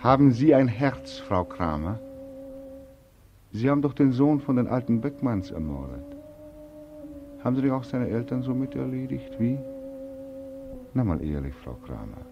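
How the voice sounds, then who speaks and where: An actor playing a bitter, despairing young war veteran in a 1940s radio drama, brooding and accusing in manner. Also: male voice, mid-range voice, sing-song, moderate pace, vocal fry, pauses often, highs cut off, noisy background. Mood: accusing, sardonic.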